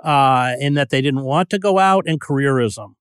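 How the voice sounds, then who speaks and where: A man's voice: medium pitch, normal speed, a bit bright, clear, resonant tone, dry recording, clean studio sound. A man hosting a podcast interview, speaking in blunt, emphatic, declarative statements. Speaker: podcast host. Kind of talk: interview conversation.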